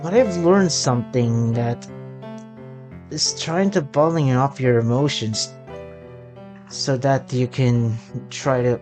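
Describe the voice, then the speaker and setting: A gaming content creator giving casual let's play commentary in a halting, rambling way. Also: mid-range voice, music in the background.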